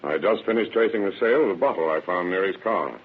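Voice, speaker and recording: An actor playing a confident, dogged sheriff in an old radio drama, firm and matter-of-fact. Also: male voice, low-pitched, animated, fast talker, husky. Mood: confident, brisk. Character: confident, dogged male sheriff, brisk and authoritative. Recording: tinny narrow-band vintage radio recording.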